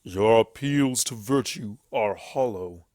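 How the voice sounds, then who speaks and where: A man voicing a bitter male winged pony villain in a dramatic story reading, with drawn-out, venomous sarcasm. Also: on the low side, darkish tone, dry recording, clean studio sound. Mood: accusing.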